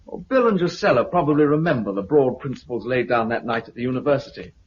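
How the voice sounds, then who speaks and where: Confident British survivor-colony recruiter played by a male actor, animated delivery, muffled, hissy 1950s radio sound. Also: medium pitch, quick pace, lightly acted. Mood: persuasive.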